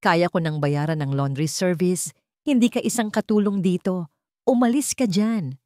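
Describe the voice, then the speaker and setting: A woman's voice: on the low side, moderate pace, dark tone, dry recording, studio quality, close to an everyday voice. A woman voicing a cold, angry male CEO with a curt, commanding manner in an audio love story.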